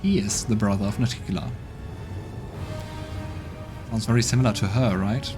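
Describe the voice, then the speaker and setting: A man's voice: fairly low pitch, moderate pace. A man hosting a voiced let's play, reading game text and chatting casually. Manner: casual, reads dialogue aloud. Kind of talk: voiced game playthrough narration. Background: game music.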